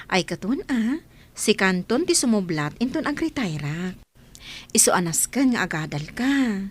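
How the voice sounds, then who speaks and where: A woman's voice: mid-range voice, sing-song, a little slow, husky. A woman with an Ilocano accent playing a nosy, reproving office accountant in a comedy radio drama. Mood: kindly advising. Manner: questioning, reproving. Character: stern nosy female office accountant, a superior.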